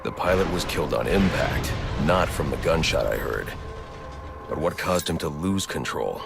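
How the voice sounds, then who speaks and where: An actor playing a grim, brooding vigilante detective in a video game, speaking tersely and sternly with a gravelly voice. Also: male voice, extremely low pitch, expressive, moderate pace, over music, exaggerated voice. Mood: grim, analytical. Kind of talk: dramatic video game acting.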